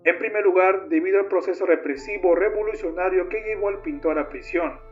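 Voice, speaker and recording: A high-pitched male narrator delivers scripted horror documentary material. His voice comes through a dull, lo-fi recording, with music playing behind him. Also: a little fast. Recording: dull lo-fi sound under music.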